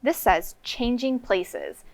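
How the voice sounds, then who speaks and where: A woman's voice: on the high side, takes it slowly. An American woman teaching young children, explaining simply in a read-aloud lesson as a kindergarten co-host.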